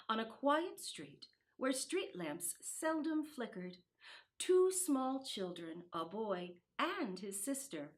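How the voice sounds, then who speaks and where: A woman narrates a children's Christmas storybook in a fairly high voice. She reads very slowly and expressively, giving each phrase its time. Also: fairly bright tone.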